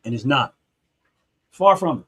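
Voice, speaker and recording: A man hosts a casual talk show at an everyday middle pitch. He speaks earnestly, often restarting his sentences and dropping in street phrasing like "real talk.". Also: expressive, a little slow, a bit bright, breathy edge. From North Philadelphia, USA. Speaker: podcast host.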